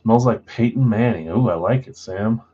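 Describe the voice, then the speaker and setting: A man's voice: moderate pace, warm tone, breathy edge, highs cut off. A man hosting a live trading card break stream, casually reading out buyers and spots in a matter-of-fact voice he calls boring. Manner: self-described boring voice, low-key and matter-of-fact.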